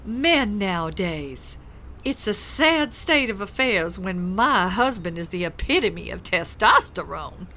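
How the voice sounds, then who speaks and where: A man-hungry, pouty political wife in a comic audio drama. She drawls slowly and flirtatiously, with big swoops of pitch. Faint noise sits behind her. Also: lively intonation, sharp tone, poor audio. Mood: pouty, dismissive. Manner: flirtatious, languid, dismissive. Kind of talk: comedic audio drama acting.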